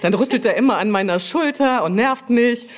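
A woman's voice: a little high, lively intonation, normal speed. A woman brand manager as an interview guest, explaining things informally in hesitant, piecemeal phrases.

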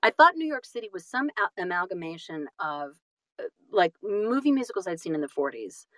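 A woman talking reflectively as an interview guest, animated and self-deprecating, often halting and repeating phrases for emphasis. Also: on the low side, no echo. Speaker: guest, audiobook narrator and voice-over artist.

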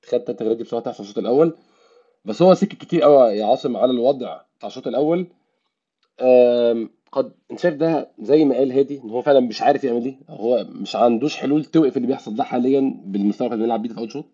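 An Egyptian man hosts a casual football chat in a hoarse, tired-out voice. His tone is very dark and muted, and the words come extremely quickly. The microphone sounds dull and slightly muffled. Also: medium pitch. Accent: Egyptian Arabic. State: hoarse, voice worn out after the match. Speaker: football podcast host.

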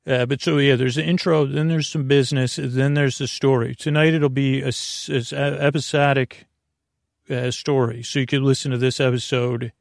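A middle-aged man hosting a sleep podcast, talking in a meandering, goofy monologue full of asides and restarts. His voice is creaky. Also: mid-range voice, little pitch movement, normal speed, dry recording, studio quality. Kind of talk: rambling bedtime monologue.

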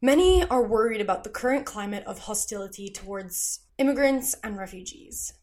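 A woman hosting a news podcast, reading scripted narration in a measured, even way. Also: mid-range voice.

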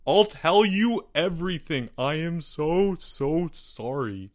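A man's voice: mid-range voice, takes it slowly, fairly bright tone, voice pushed up. A man voicing a frightened, guilt-ridden schoolboy in a story, stammering and pleading his innocence. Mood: wailing, desperate.

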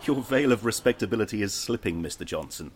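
Prosecutor male actor: educated, needling, sarcastic, low voice with very lively swings. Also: fast, slight background noise, close to an everyday voice. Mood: sneering. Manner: sarcastic, formal, probing. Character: smug, sneering prosecuting lawyer, educated and needling. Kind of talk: audio drama acting.

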